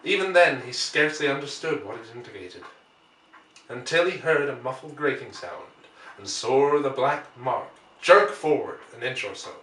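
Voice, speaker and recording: A man reading a classic story aloud as scripted narration, with measured, deliberate phrasing. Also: mid-range voice, lively intonation, bright, forceful tone. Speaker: audiobook reader. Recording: roomy, distant microphone.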